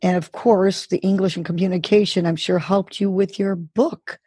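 A woman's voice: fairly low pitch, steady tone, normal speed, dark tone, husky, dry recording, studio quality. A woman hosting an interview show, asking questions in an encouraging, effusive way. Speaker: host.

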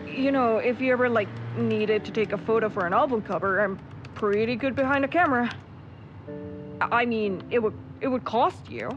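A woman's voice: on the high side, moderate pace, music in the background, natural voice. A woman acting in an audio drama as a snarky, sleepy young photographer, sardonic and given to flustered hedging. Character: snarky, sleepy young photographer called Daniel, grudgingly friendly. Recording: dull audio under loud vehicle noise.

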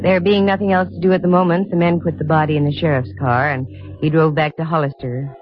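A woman's voice: sits low, fairly even intonation, fast, natural voice. An actress playing a shrewd, matter-of-fact female private detective in 1950s radio style, narrating her case with a wry edge. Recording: dull old radio recording with music.

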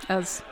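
A woman playing a flustered, smitten, jealous roommate in an intimate roleplay audio, speaking haltingly and nervously. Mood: relieved, flirtatious. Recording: bar crowd murmur behind voice.

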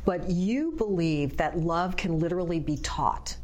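A woman with a very low voice hosts an interview, asking chatty questions that trail into repetitions. She talks warmly and conversationally to her guest. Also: animated, a little slow. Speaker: interview host.

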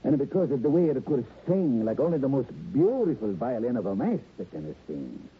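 An emotional Italian shopkeeper played by a man talks in halting, exclamatory broken English, his pitch leaping about. It is old radio drama with a humble immigrant craftsman, muffled in sound over a noisy recording. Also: very animated, natural voice. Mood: distressed.

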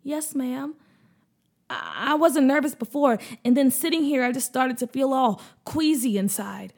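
A woman's voice: high voice, animated, a little slow, creaky, darkish tone, full character voice. A woman voicing a young boy on his first plane ride, nervous and then amazed.

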